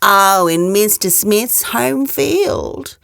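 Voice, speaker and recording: A woman voicing a sly, menacing male wolf in a children's storybook, with slow, drawn-out, coaxing delivery. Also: mid-range voice, animated, creaky, fairly bright tone, no echo, studio quality, full character voice. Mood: wheedling. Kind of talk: children's storybook read-aloud.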